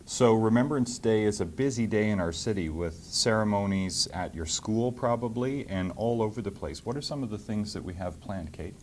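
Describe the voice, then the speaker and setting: A man with a Canadian accent speaking as a mayor to schoolchildren, in a measured, simply worded way. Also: lowish voice, animated, a little hiss or hum. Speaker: mayor hosting and reading to children. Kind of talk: public talk and storybook reading to schoolchildren.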